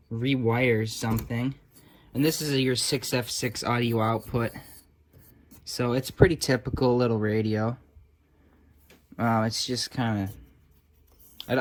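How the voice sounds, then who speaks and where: A man giving casual, off-the-cuff hobbyist commentary at a workbench, with wry, sarcastic asides muttered along the way. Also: medium pitch. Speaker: hobbyist restorer and video host.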